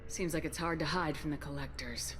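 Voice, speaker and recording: Woman playing a low-voiced resolute commander. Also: a little fast, background noise, close to an everyday voice. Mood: firm questioning. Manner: direct, authoritative. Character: resolute female military commander, calm and firm. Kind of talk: video game acting.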